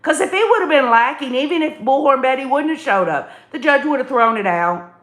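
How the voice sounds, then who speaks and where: A woman's voice: high voice, lively intonation, fairly quick, fairly bright tone. A woman hosting an opinionated live commentary, speaking emphatically and repeating phrases as she addresses listeners in a folksy, direct way. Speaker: livestream host commentator. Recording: echoey room sound.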